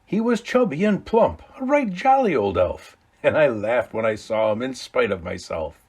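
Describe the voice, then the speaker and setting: A man reading a classic Christmas poem aloud, with dramatic swings between hushed low lines and loud calls. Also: big pitch swings, moderate pace, husky. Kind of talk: expressive storybook read-aloud.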